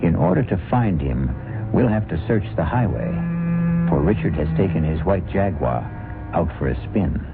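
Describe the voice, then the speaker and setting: A very deep, gravelly male voice hosts a vintage radio mystery in a measured, ominous way. His pitch swings widely, and loud music plays under the thin, phone-like sound of an old broadcast. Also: very animated. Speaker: program host narrator. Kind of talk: 1970s radio drama host narration.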